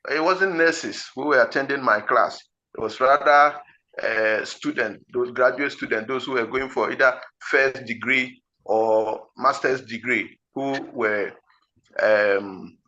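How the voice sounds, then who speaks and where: Ghanaian male tutor, deliberate word-by-word delivery, bright tone, muffled low-fi recording. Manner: slow, deliberate, word-by-word delivery with frequent fillers. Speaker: IELTS teacher. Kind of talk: explanatory teaching talk.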